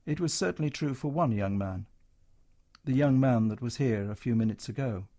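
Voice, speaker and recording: A man's voice: medium pitch, normal speed, warm tone, lightly acted. A man doing a stern hospital matron. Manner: firm and cutting. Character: brisk, stern wartime hospital matron, woman.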